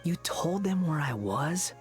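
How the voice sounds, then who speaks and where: A man's voice: a little high, sing-song, measured pace, faint music behind. A man voicing an aloof, grumpy scholarly recluse in a video game, dry and curt. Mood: irritated, reluctant.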